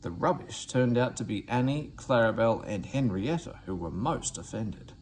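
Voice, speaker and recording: Animated man reading a children's story. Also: medium pitch, moderate pace, low-quality recording. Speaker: storybook reader. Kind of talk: expressive children's read-aloud narration. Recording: faint background noise.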